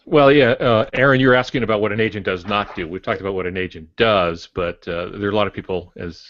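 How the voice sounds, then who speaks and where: Low-voiced man, dry dull recording. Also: steady tone, quick pace, dry recording. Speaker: author and podcast panelist. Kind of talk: casual podcast discussion.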